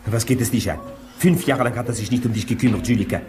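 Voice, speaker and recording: A man's voice: mid-range voice, fast talker, noisy background, close to an everyday voice. An actor playing a protective, sceptical man in a 1950s German radio play, blunt and questioning. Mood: reproachful, concerned.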